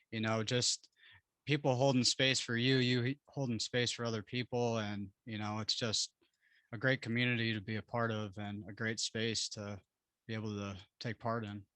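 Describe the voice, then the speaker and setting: Male podcast host, bright forceful tone, dry close sound, relaxed friendly manner. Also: mid-range voice, no echo. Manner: friendly, laid-back, soft laughs and fillers. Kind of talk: casual interview chat.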